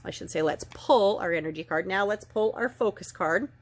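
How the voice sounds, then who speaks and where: A middle-aged woman with a slightly stuffed-up, nasal voice, gently and earnestly giving an oracle card reading and reading passages aloud. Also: mid-range voice, moderate pace, slight background noise. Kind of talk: casual spiritual card reading.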